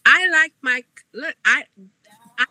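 A woman's voice: high-pitched, slow pace, sharp tone, dry recording, soft background music. A woman with a Trinidadian accent co-hosting a casual talk show, sassy and joking. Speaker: co-host. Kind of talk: casual chat.

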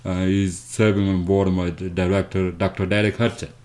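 Very deep-voiced man from South Sudan. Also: little pitch movement, a little mellow. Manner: halting, many uh fillers and restarts. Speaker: guest, nonprofit founder. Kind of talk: interview, personal story.

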